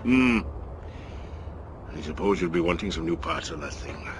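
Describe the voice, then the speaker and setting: An actor playing a gruff, fatherly older trader in a game cutscene, teasing and scolding his former apprentice. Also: sits low, animated, moderate pace, a little hiss or hum. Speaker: mentor and trader. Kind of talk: video game cutscene acting. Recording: loud game music underneath.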